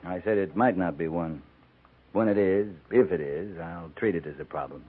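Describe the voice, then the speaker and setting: A frontier cavalry captain with a low, steady voice. He is terse, folksy and in command, speaking in dry understatement on a tinny 1950s radio western recording. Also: moderate pace, slightly rough. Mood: dry, measured.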